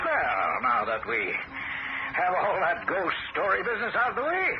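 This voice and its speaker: An actor playing a smooth, scheming young man in a 1970s radio drama, sly and insistent. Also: sits high, huge pitch swings, normal speed, background noise, full character voice. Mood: sly salesman. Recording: dull old radio broadcast.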